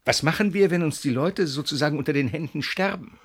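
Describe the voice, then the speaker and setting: Husky, sneering, combative colleague. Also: male voice, fairly high pitch, brisk. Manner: sneering, provocative. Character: mocking, combative male colleague, contemptuous. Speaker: actor in a radio play. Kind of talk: German radio drama acting.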